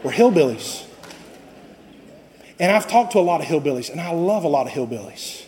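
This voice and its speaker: A man preaching a passionate sermon as pastor, swinging from slow, low stretches to loud cries. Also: big pitch swings, slight background noise. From Costa Rica. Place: church sanctuary. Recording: long reverb of a church sanctuary.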